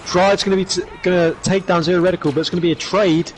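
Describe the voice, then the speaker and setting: A hoarse man calling a competitive shooter match play by play, his voice worn from shouting. He sits a little higher than most men and jumps into quick, eager bursts as the action heats up, with a faint hum of noise behind him. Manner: rapid excited calls with rising bursts. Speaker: esports commentator. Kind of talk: play-by-play commentary.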